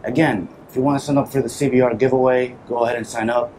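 Man, mid-pitched voice, informal and easygoing, casual hands-on tutorial, action camera, noisy workshop ambience. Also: fairly even intonation, quick pace. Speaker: motorcycle vlogger, host. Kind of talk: casual how-to tutorial while working. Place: small wooden garage workshop.

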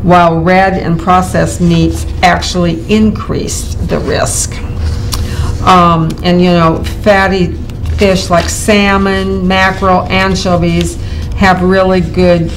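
A woman's voice: on the low side, level tone, takes it slowly, faint music behind, rough recording. An older woman hosting a local TV health show, chatting casually while reading from notes, with frequent ums and restarts. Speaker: host. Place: TV studio in front of a virtual set.

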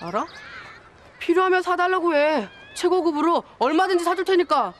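An actress playing a haughty, status-conscious city schoolchild who scolds, in a children's TV drama. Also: female voice, extremely high pitch, lively intonation, normal speed, a little hiss or hum. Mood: indignant, haughty.

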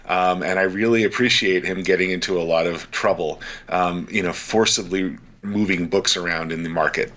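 Very deep, bright-edged man. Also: fairly even intonation, normal speed, few pauses. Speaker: guest player. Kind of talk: casual chat. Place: home room, video call.

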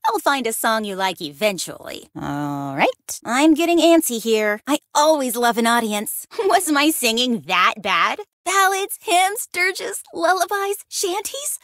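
A woman's voice: high-pitched, big pitch swings, unhurried, hardly any pauses, fairly bright tone, no room sound, studio quality, heavily acted. An actress playing a cheerful, friendly young woman bard in a video game, bubbly, folksy and adventurous. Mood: excited, friendly. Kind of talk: animated video game character lines.

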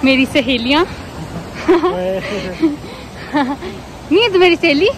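Young woman vlogger, high chatty voice, phone at arm's length, heavy traffic noise. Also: lively intonation, slow. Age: young adult. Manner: chatty, exclaiming, laughing often. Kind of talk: casual travel vlog chat. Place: outdoors on a mountain road and trail. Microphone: phone held at arm's length. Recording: loud road and traffic noise outdoors.

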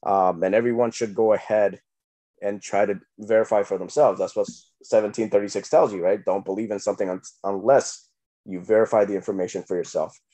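A young man with a lowish voice shares his story earnestly on a video call. He leans on fillers and agreement-seeking asides. The sound is dry and close. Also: steady tone, brisk, warm tone, dry recording. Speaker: guest sharing his story. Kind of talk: casual personal testimony on a video call. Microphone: laptop webcam mic on video call.

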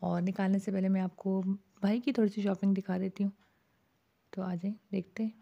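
A woman's voice: mid-range voice, creaky, dark tone. A woman from Pakistan vlogging in a casual, chatty way, talking to viewers as she shops in a bazaar at night and then at home. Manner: chatty, addresses viewers with frequent "ji". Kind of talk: casual vlog narration. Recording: dull lo-fi phone audio with faint street noise.

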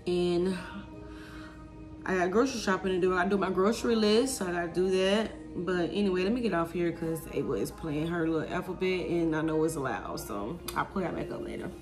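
A woman's voice: on the low side, fairly quick, music in the background. Chatty young mom vlogging in her kitchen. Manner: chatty, confiding, talks to viewers as y'all. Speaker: vlogger, mother of five. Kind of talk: casual vlog chat. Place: home kitchen and pantry, indoors. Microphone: phone camera, handheld or propped up.

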